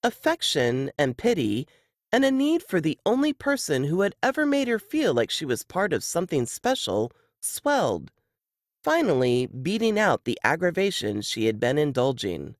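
A woman narrating a novel excerpt in an expressive audiobook style. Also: sits low, normal speed, no room sound, studio quality.

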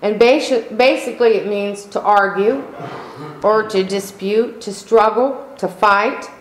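A middle-aged woman pastor delivering a sermon in slow, emphatic preaching style, calling out for amens. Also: mid-range voice, a little hiss or hum. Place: church sanctuary. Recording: echoey room.

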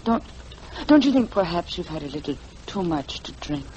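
An actress playing a guarded, formal, elegant French widow in a 1940s radio drama. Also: female voice, on the low side, very animated, normal speed, darkish tone. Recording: noisy 1940s radio broadcast recording.

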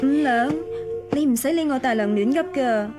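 Fairly high-voiced, sensible maidservant played by an actress. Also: female voice, normal speed, over music, natural voice. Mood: sympathetic, earnest. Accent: Hong Kong Cantonese. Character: kind-hearted, sensible maidservant. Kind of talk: radio drama acting.

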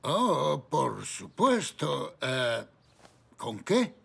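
A kindly, bumbling old zebra grandfather in a children's cartoon. He speaks slowly and modestly in a gravelly voice, with animated rises and falls. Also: mid-range voice, full character voice. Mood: obliging.

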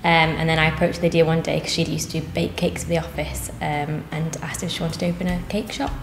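A woman's voice: deep voice, fairly even intonation, fairly quick, echoey room, faint music behind. A woman in her twenties, a bakery co-owner, answering interview questions in an earnest, plain way with many ums. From England. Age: 20s. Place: cafe interior, seated on sofa.

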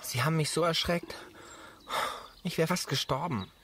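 A man's voice: fairly high pitch, normal speed, creaky, a bit bright. An actor playing a nervous, earnest younger man in a radio drama, hesitant and stumbling over his words. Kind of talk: German radio drama acting. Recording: faint outdoor noise with birds.

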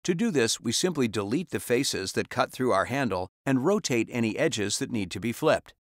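Male voice-over for a 3D software tutorial, mid-pitched, calm and instructional, dry close recording. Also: normal speed, no echo.

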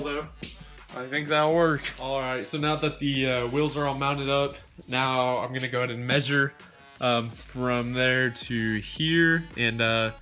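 A man's voice: mid-range voice, bright, forceful tone. A young man casually narrating a DIY build in his home garage, talking with many ums and uhs while he films on a handheld phone. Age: young adult. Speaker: DIY vlogger.

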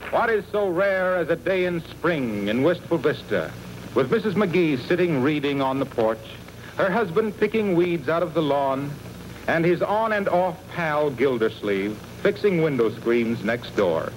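A man's voice: fairly high pitch, animated, unhurried, a little hiss or hum, low-quality recording. A man performing as a genial old-time radio comedy announcer, reading a scripted scene-setter on an old broadcast.

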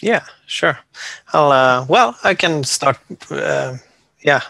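Norwegian man in his forties, a composer guest; bright tone, hesitant delivery with frequent fillers, dry close sound. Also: fairly high pitch, animated, takes it slowly, creaky, no echo. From Norway. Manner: hesitant, many fillers and restarts. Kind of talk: casual interview talk.

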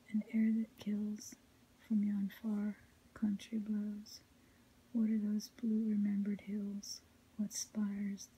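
A woman softly reading poetry in a breathy whisper, ASMR style, with a measured, melodic recitation. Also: mid-range voice, poor audio. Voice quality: soft unvoiced whisper, breathy.

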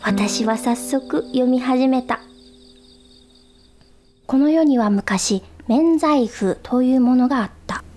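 High-pitched wistful young woman. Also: slow, long pauses, a little mellow, slight background noise. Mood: curious. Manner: reflective storytelling. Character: wistful young woman narrating her memories and feelings. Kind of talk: radio drama first-person narration.

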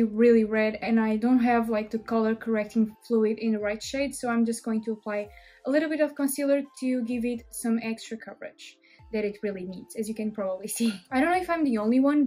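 A woman's voice: fairly high pitch, dark tone. A young woman in her twenties from Portugal, speaking English with a Portuguese accent as she chats through a makeup tutorial in her bedroom. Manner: friendly, chatty, explaining step by step. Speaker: beauty vlogger. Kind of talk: makeup tutorial talk to camera. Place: small bedroom indoors.

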